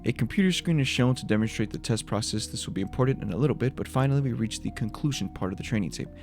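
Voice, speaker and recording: A man's voice: medium pitch, lively intonation, very fast, darkish tone, faint music behind. A man giving a scripted breakdown of a horror video as its creator, in voice-over narration.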